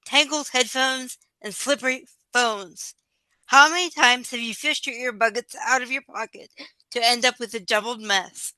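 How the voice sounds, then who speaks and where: An actress playing an inventive, business-savvy entrepreneur in an audio play, with a polished sales-pitch delivery. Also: female voice, moderate pace, sharp tone, dry recording, poor audio. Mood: eager, persuasive.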